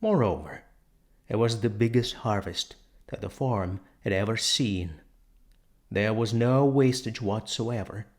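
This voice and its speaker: Slow, low-voiced male storyteller. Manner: measured, unhurried storytelling with deliberate pauses. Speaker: audiobook narrator. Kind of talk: scripted literary narration.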